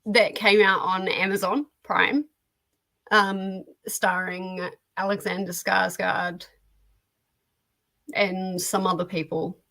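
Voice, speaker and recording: Female livestream host, mid-range voice, informal conversational delivery for relaxed book talk. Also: mid-range voice, slow pace, creaky, poor audio. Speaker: host of a reading sprint livestream. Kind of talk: casual livestream chat about books.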